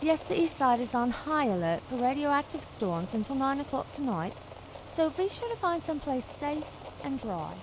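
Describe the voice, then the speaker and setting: An actress playing a chirpy TV weather reporter in an audio drama. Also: female voice, sits high, very animated, moderate pace. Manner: chirpy, newsy. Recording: loud noise behind.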